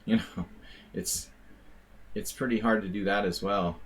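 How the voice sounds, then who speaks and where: A man's voice: fairly low pitch, steady tone, measured pace. An American man giving casual, rambling live commentary on his chess games, with wry asides and plenty of fillers. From United States. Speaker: chess streamer.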